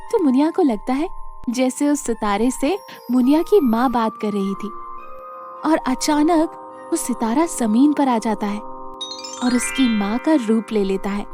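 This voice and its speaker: A woman's voice: a little high, mellow. A woman narrating a scripted children's moral story as the storyteller.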